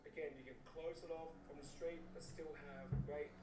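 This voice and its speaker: A man enthusiastically describes buildings as he narrates a travel tour. His voice sounds roomy and a little distant. Loud music plays behind him. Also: a little high, fairly even intonation, moderate pace. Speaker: architecture video presenter.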